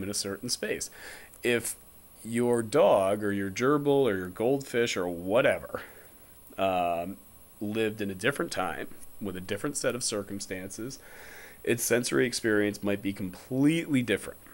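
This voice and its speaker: A young American man in his twenties speaks in a slightly low voice. He lectures informally, explaining ideas deliberately, restating them and pausing to check that listeners follow. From Connecticut, United States. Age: 20s. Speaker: philosophy lecturer.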